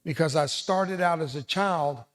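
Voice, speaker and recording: A man's voice: fairly high pitch, a little slow. Older man giving a fiery veterans' speech. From South Texas, USA. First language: Spanish. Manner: emphatic, repeats key lines, addresses audience directly. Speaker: veteran speaker, resiliency trainer. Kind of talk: motivational speech.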